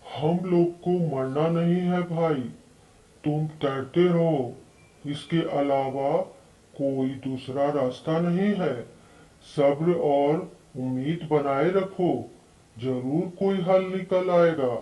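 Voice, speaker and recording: A man acts out a male frog in peril in a cartoon story. The voice is soft-edged and a bit high for a male, and the words come slowly. It rings with a roomy echo. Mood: grave.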